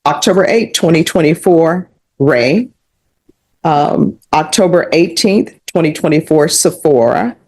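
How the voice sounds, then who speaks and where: A woman's voice: sits low, expressive, measured pace, darkish tone. An American woman speaking as a judge in court, questioning and ruling in a firm, formal, matter-of-fact way.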